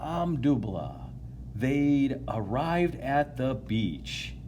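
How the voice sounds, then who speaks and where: A man reading a children's picture book aloud in an expressive, playful way, drawing out key words and chatting to his young listeners. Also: mid-range voice, extremely slow, a little hiss or hum. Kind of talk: animated read-aloud to children, with asides to listeners.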